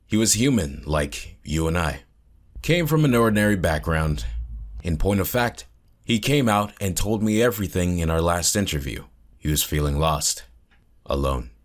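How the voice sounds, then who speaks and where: A man acts as a learned historian being interviewed in an audio drama. His low voice is grave and measured, pontificating with rhetorical questions. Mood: explaining. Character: grave, opinionated male academic historian.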